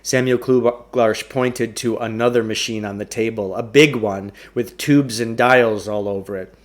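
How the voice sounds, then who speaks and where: A man's voice: mid-range voice, steady tone, unhurried. A man reading a children's novel aloud as a storyteller, in scripted narration.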